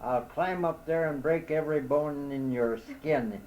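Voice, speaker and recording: A lost Irishman with a thick brogue shouts up into the dark, high-pitched and strained, as a man reads him aloud. He turns from polite pleading to bluster, words tumbling out over each other. It is broad storybook character work. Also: measured pace, muffled recording, a little hiss or hum, close to an everyday voice. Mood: exasperated. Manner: loud, exasperated brogue shouting. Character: flustered Irish immigrant man lost in woods.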